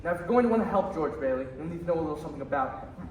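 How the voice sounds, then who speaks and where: Senior angel, heaven's calm superintendent male actor, radio play, slightly high voice, distant on a stage. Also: rapid-fire, close to an everyday voice. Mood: calm authority. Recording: echoey stage from far off, noisy.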